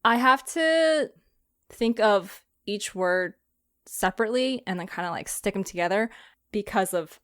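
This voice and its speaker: A woman's voice: medium pitch, lively intonation, measured pace, no echo, studio quality. A woman hosting a casual podcast chat, giggling often as she talks. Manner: giggly, laughs often while talking. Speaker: podcast host and creator.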